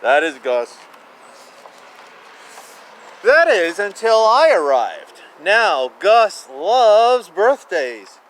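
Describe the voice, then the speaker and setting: A man reading children's picture books aloud in a playful, expressive way, with casual asides and repeated phrases. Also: sits high, drawn-out words, long pauses. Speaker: children's book reader. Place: outdoors. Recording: noisy outdoor ambience. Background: outdoor ambience, animal and vehicle sounds.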